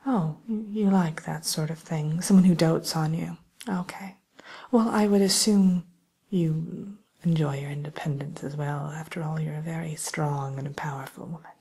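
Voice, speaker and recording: A woman softly whispering an ASMR roleplay as a polite, hesitant matchmaker who soothes and defers to her client. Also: sits low, fairly even intonation, normal speed, heavily acted. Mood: hushed, attentive. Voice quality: breathy, mostly whispered. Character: polite, accommodating female matchmaker at a dating service for villains.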